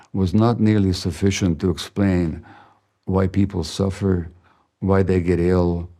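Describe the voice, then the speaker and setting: An older man, a Hungarian native speaker, giving a slow, measured, reflective masterclass lecture as a physician. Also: sits low, dark tone.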